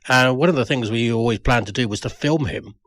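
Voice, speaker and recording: Male writer, mid pitch, self-deprecating, sharing personal memories in a spoken tribute; dry sound. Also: a little fast, a bit bright, no echo. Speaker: writer paying tribute to a friend.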